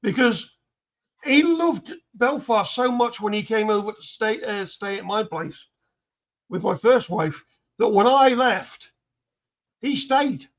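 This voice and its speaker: A man's voice: high-pitched, expressive, slow pace, highs cut off. An older man chatting on a panel, blunt and dry in his humor. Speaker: panel guest. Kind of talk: casual panel chat.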